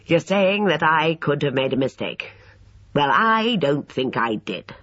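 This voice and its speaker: A male narrator playing an aged, irritable country doctor with a gruff, dismissive manner, on a dull lo-fi recording. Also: on the high side, very animated, a little slow, bright, forceful tone, no room sound, full character voice.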